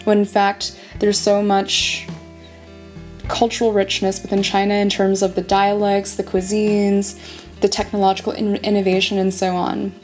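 Woman speaking in a flat, articulate tone. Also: medium pitch, normal speed, faint music behind. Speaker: interviewee.

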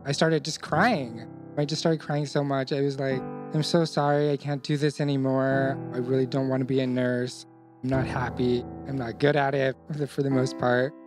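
A man's voice: mid-range voice, level tone, moderate pace, music in the background. Young man, Vietnamese native, emotional. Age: young adult. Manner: open, emotional recounting. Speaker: interviewee. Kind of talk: personal testimony.

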